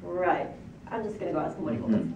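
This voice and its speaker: A woman's voice: fairly low pitch, very animated, mellow, pitched below the performer's own voice. A woman voicing a plain-spoken, clueless American pig in a comic storybook, blunt and colloquial. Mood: nonchalant. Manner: blunt, colloquial, unhurried by alarm. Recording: roomy echo, distant mic, faint noise.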